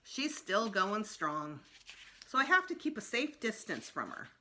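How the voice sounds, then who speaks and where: Bright, animated woman reading aloud. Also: mid-range voice, a little slow, slight background noise. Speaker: storytime reader. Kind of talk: children's book read-aloud, expressive narration.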